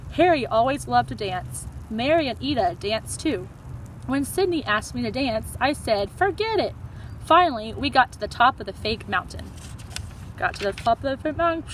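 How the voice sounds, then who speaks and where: A woman teacher reading a children's story aloud in an animated storytelling style. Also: fairly high pitch, a little hiss or hum, poor audio.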